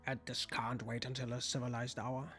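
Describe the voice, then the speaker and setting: A man's voice: medium pitch, little pitch movement, moderate pace, a bit bright, slightly rough. A man voicing a pompous, impatient cat major with a condescending manner in a visual novel read aloud. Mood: haughty, impatient. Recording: music behind the voice.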